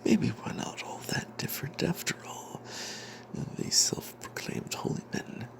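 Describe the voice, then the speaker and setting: Man whispering a secretive fantasy tale. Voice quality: breathy, unvoiced whisper. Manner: hushed and secretive, with dramatic pauses. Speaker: narrator telling the tale in character as a cleric. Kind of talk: whispered ASMR storytelling of a tabletop fantasy adventure.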